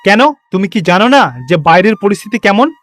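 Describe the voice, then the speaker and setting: A man voicing a strict, suspicious and overprotective village father in an animated moral story, stern and admonishing. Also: sits high, fairly quick, dry recording, faint music behind. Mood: stern refusal.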